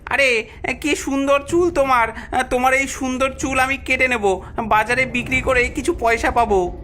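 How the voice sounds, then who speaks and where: A woman's voice: sits high, moderate pace, a bit bright, slight background noise. A woman voicing a mischievous, greedy young goatherd boy who schemes and whines, in a cartoon fairy tale. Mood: gleeful scheming. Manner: scheming, whining, complaining.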